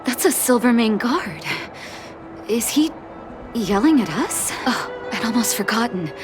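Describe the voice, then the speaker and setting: A woman voicing a gentle, resolute doctor and leader in a video game, soft, measured and thoughtful. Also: fairly high pitch, sing-song, music in the background. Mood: composed, curious.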